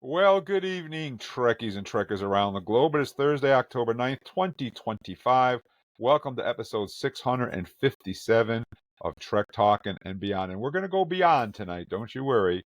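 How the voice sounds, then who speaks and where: A man's voice: medium pitch, lively intonation, moderate pace, dry recording. A man hosting a casual podcast, introducing his co-hosts with an upbeat, jokey manner. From Vermont. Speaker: podcast host.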